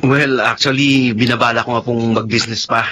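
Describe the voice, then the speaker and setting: A man acting a polite, warm young professional with a Filipino accent in a radio drama. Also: medium pitch, steady tone, brisk. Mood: respectful, cheerful.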